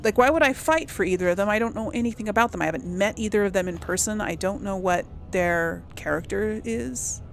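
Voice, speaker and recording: Female let's play host, conversational and musing, mid pitch, with music underneath. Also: brisk, creaky, few pauses. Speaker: gaming channel host. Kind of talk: casual game role-play commentary.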